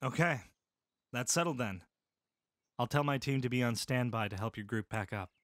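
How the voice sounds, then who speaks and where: A man doing a steady compassionate medic, mid-pitched voice, dry close sound. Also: moderate pace, no room sound, close to an everyday voice. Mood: serious. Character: calm caring male medic soldier. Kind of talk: full-cast audiobook acting.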